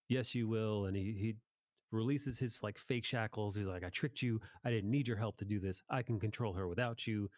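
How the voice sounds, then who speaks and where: A male podcast host with a fairly low voice, chatting casually about anime. He rambles informally through recaps and swears freely. The recording is dry, with no room sound. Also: no room sound.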